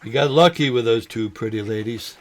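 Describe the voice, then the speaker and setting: A man playing a sentimental, ailing old fisherman in an audio drama, speaking in a halting, folksy way. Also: medium pitch, close to an everyday voice. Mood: teasing. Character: ailing old man, sentimental lifelong fishing buddy, gently joking.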